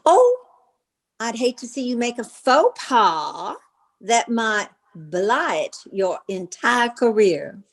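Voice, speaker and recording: A woman's voice: a little high, big pitch swings, slow pace, heavily acted. A woman playing a coquettish, scheming girlfriend in an old-time radio comedy, teasing and drawing out her words. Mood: coy, scheming.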